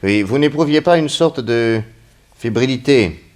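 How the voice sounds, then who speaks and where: An actor playing a stern, shrewd investigator who questions insistently and sardonically in a 1960s French radio crime drama. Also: male voice, mid-range voice, animated, measured pace, natural voice. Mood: probing. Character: stern, shrewd male investigator grilling a young suspect.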